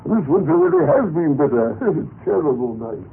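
An actor playing a pompous, self-important high-ranking Russian official in an old radio drama, haughty and theatrical, with a raspy voice. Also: male voice, huge pitch swings, moderate pace, full character voice. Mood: smug, breezy. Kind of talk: 1950s radio drama acting. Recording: muffled, tinny old radio recording.

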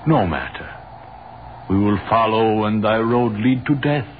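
Man acting low-voiced, tired American soldier. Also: measured pace, natural voice. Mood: weary, resolute. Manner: plain, unadorned, patient. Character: plain-spoken, tired American soldier, patient and resigned. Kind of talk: 1930s radio drama acting. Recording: muffled 1930s radio recording with hiss.

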